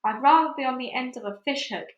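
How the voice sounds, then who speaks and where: A woman's voice: high-pitched, fast, lo-fi sound, a little hiss or hum, voice pushed up, close to an everyday voice. A woman voicing a blind, gloomy, whining earthworm in a children's storybook. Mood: horrified. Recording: echoey room.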